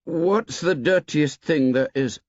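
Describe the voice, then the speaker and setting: A man's voice: on the high side, darkish tone, dry recording, pitched above the performer's own voice. A timid young boy who halts and searches for his words, his voice a little raised. A man reads him aloud. The audio is muffled and dry. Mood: hesitant. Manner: hesitant, halting. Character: shy, hesitant young boy. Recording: dull, dry recording.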